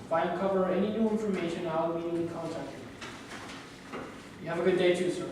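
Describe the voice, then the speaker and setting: A man's voice: a little high, fairly even intonation, brisk, close to an everyday voice. A man reading the part of a stern, businesslike racing investigator in a staged reading, blunt and formal. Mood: formal, deferential. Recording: very echoey room, distant mic, noisy.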